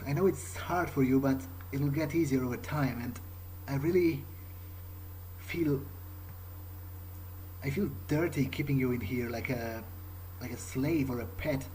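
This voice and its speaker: Man, gamer roleplay monologue, halting, repeated words, faint noise and ambience behind. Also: medium pitch, warm tone, poor audio. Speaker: gamer roleplaying his character. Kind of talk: in-character game playthrough, improvised monologue.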